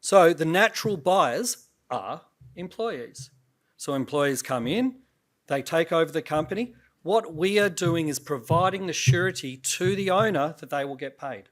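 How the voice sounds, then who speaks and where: A man, a company CEO, pitching on stage at an investor event, his voice a little croaky and his talk loose, full of fillers and restarts. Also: lively intonation, unhurried. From Australia. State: slightly croaky voice.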